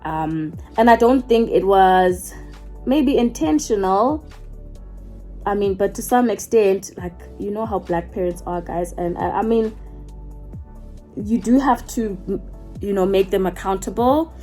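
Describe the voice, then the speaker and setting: A woman's voice: medium pitch, a little slow, dark tone, soft background music. A South African woman in her twenties, talking casually to camera, rambling and correcting herself as she goes. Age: 20s. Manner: chatty, rambling, self-correcting. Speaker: YouTuber. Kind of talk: casual get-to-know-me chat.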